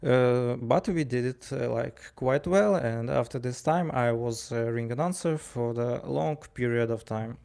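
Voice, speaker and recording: A man with a creaky, gravelly voice and a Ukrainian accent. He talks casually, like a storyteller, stumbling and repeating words as he goes and voicing bits of dialogue. His pitch sits in an ordinary middle range. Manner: halting, stammering over words, animated storyteller acting out dialogue. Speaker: wrestling ring announcer and commentator. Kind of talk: casual spoken anecdote.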